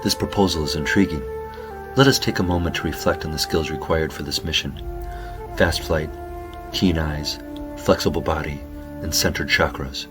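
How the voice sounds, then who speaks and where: Zen hawk , male actor, extremely low voice, calm, formal and solemn; kids' audio drama, music underneath. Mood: serene, solemn. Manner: zen, measured, formal speech. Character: serene yoga-loving hawk, calm and formal.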